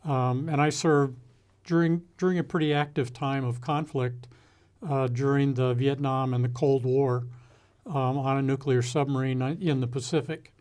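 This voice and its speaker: Older American man speaking in measured phrases. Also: medium pitch, darkish tone. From United States. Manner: measured, halting delivery with deliberate pauses. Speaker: interview guest, retired executive and former naval officer. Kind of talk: reflective interview conversation.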